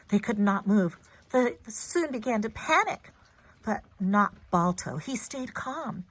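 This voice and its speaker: Female storyteller, higher register, expressive and emphatic read-aloud for kids, dry sound. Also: no echo, rough recording. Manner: dramatic, emphatic delivery addressed to young children. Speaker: storyteller reading a children's book aloud.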